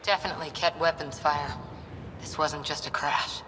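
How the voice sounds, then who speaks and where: An actress playing a composed, analytical female soldier officer who speaks briskly, in noisy game audio. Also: deep voice, steady tone, in a large hall, close to an everyday voice.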